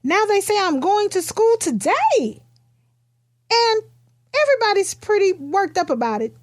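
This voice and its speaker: Woman reading as high-voiced little storybook girl. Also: measured pace, no echo, clean studio sound, heavily acted. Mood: earnest, matter-of-fact. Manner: simple, expressive child-story phrasing.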